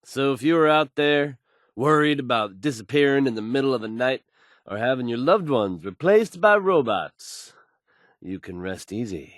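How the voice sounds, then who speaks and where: A man's voice: mid-range voice, animated, moderate pace, no room sound, natural voice. Man playing a confident radio newsman, dry studio sound. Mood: assured, satisfied. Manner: assured, folksy, dramatic announcer delivery. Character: confident, smooth male radio news host with showman's flair. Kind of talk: in-game radio news acting.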